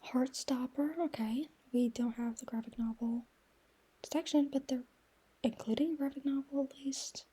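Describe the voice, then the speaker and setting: A woman's voice: sits high, fairly even intonation, moderate pace, slightly rough. A young woman chatting casually about books, often half-whispering, with a voice worn down by a coming cold. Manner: soft, often whispery, gushing. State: losing her voice, getting sick. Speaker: book vlogger. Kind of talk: casual chat, reviewing books.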